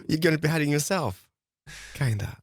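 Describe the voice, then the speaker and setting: A man in casual chat as a fellow player, giggly and excitable, often repeating his words. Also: a little high, normal speed, breathy edge, no echo.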